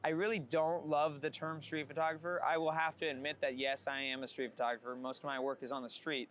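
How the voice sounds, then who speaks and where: Male street photographer interviewee, slightly high pitch, candid casual chat, light ambient noise. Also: level tone, fairly quick, fairly bright tone.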